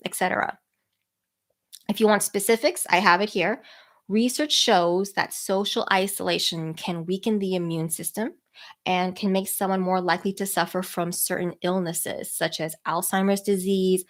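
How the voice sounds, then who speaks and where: A woman in her thirties with a cold, talking nasally as a people skills coach on a podcast live stream, earnest and encouraging. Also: medium pitch. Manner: earnest, encouraging, slowed by a stuffy nose.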